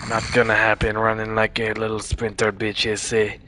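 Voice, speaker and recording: A man's voice: fairly low pitch, completely flat delivery, normal speed, bright, forceful tone, slight background noise. A young man gaming who puts on the strained voice of a swaggering, tough-talking street gangster, tagging his lines with slang. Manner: strained put-on voice, repeated street-slang tags. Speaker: gamer. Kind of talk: gameplay commentary in a put-on character voice.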